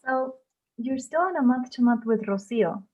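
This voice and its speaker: A woman playing a kind, tactful stable worker in a screenplay table read, speaking gently and carefully. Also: a little high, animated, normal speed, soft background music. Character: kind, tactful woman working at an equestrian center. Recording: dull, lo-fi sound.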